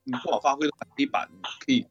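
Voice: medium pitch, rapid-fire, lo-fi sound. A host of a cast livestream chatting casually and teasing in a playful way. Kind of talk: casual hosting chat with teasing.

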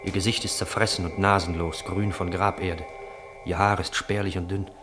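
A man's voice: sits low, flat delivery, normal speed, noisy background, close to an everyday voice. A man narrating a literary radio drama as an observant storyteller, in precise, descriptive, clipped phrases. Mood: grave. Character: observant narrator of a brothel scene. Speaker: narrator of stage directions. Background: music.

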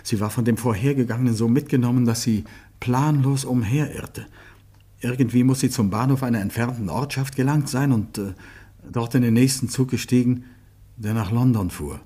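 A man's voice: mid-range voice, normal speed, mellow, slightly rough, natural voice. A man playing a guarded, grieving suspect in a German radio crime play, speaking in a subdued, bitter way. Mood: bitter, sombre. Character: guarded, grief-stricken male suspect under police questioning. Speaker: actor in a radio crime drama.

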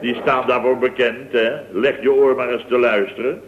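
A man's voice: mid-range voice, steady tone, moderate pace, natural voice. A man acting an exasperated, sarcastic company boss in an old radio comedy, grumbling emphatically. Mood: scornful. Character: blustering, exasperated, sarcastic male boss of a building firm. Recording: dull old radio recording.

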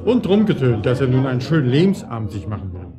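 Man acting pub landlord, blunt, knowing, colloquial, lowish-average pitch, music playing behind, radio drama. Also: very animated, fast talker, lightly acted. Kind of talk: naturalistic German radio drama.

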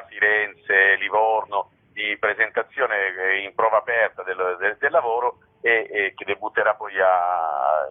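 Low-voiced man on a phone line. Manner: reflective, explanatory, often tagging phrases with "no?". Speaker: playwright and theatre director being interviewed. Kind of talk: spontaneous interview answers. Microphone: telephone. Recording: muffled narrow-band telephone line.

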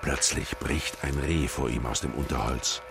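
A man narrating short scenes in a radio play in a measured storytelling manner. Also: rumbling low, music in the background.

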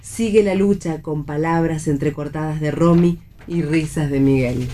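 A woman's voice: deep voice, moderate pace, mellow, slight background noise. A woman reading stage directions as scripted narration for radio theatre.

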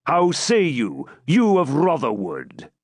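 A man's voice: on the high side, wildly swooping pitch, dry recording, studio quality, voice pushed up, heavily acted. A man voicing a furious Norman baron. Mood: menacing. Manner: bellowing, threatening, slow and heavy. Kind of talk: audiobook character reading.

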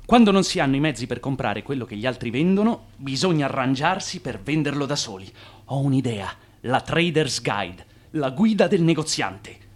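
An actor playing an ambitious, boastful immigrant schemer in radio theatre, with an emphatic, self-assured delivery. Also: male voice, medium pitch, very animated, normal speed. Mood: enthusiastic.

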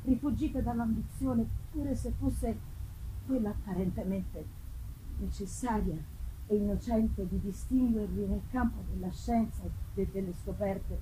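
A woman playing a dying male scientist in a gothic novel reading, speaking with halting, solemn regret. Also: medium pitch, noisy background, lightly acted. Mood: tender regret. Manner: halting, solemn deathbed speech. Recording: loud live guitar music behind.

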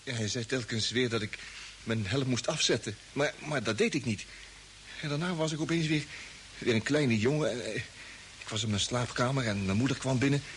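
An actor playing a dazed, guileless crewman, halting and bewildered, in an old radio play. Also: medium pitch, lightly acted. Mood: dazed, recalling. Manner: halting, bewildered, repeating words. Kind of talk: mid-20th-century Dutch radio play acting. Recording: hissy old radio drama recording.

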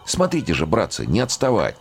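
An actor playing a big, stolid seminary theologian, terse and ponderous, in an audio drama. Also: male voice, on the low side, wildly swooping pitch, a little fast, gravelly, full character voice. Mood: resolute. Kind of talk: audio drama of a classic story.

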